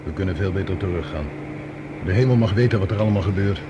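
A cautious spaceman and reluctant sidekick, acted by a man in a lowish voice. He sounds uneasy and hesitant, in radio play style, with clear outdoor noise behind him. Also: fast talker, dull audio, close to an everyday voice. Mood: worried. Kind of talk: radio drama acting.

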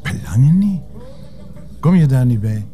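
Restrained Flemish police inspector, music behind. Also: male voice, medium pitch, sing-song, measured pace, warm tone. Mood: distracted, evasive. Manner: polite, restrained, evasive. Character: patient, sensible male police inspector, loyal sidekick. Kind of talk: naturalistic TV crime drama acting.

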